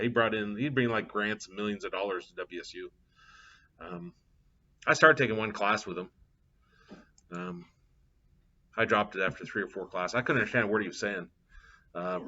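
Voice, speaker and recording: Middle-aged man, math instructor, relaxed rambling lecture, muffled lo-fi mic. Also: on the low side. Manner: casual, chatty, thinking aloud with fillers. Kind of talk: live class lecture with anecdotes. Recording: dull lo-fi classroom capture.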